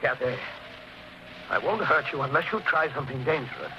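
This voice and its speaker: A man's voice: mid-range voice, lively intonation, brisk, close to an everyday voice. A man playing an agitated, bullying stepfather who questions accusingly, in old-time radio suspense style. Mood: menacing. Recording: muffled, noisy 1940s radio recording.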